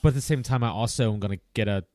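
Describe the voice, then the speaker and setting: A man's voice: lowish voice, rapid-fire, a little mellow, no echo, studio quality. A man in his late thirties hosting a casual chat, talking in a rambling, easygoing way and laughing often. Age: 30s. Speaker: podcast host.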